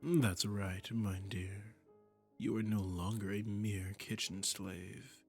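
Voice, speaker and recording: A man's voice: lowish voice, fairly even intonation, takes it slowly, creaky, soft background music. A man playing a seductive, commanding demon prince in a romantic roleplay audio drama, speaking in a silky, imperious way and often dropping into a murmur. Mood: possessive, indulgent. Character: seductive, commanding male demon prince claiming a captive singer.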